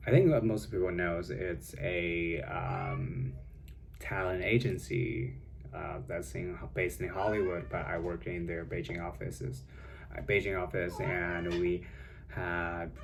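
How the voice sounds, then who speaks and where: Deep, gravelly Chinese-accented young man. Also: fairly even intonation, slow, slight background noise. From China. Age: young adult. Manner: rambling, many fillers and self-corrections. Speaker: vlogger. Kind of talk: casual vlog chat. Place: home with cats.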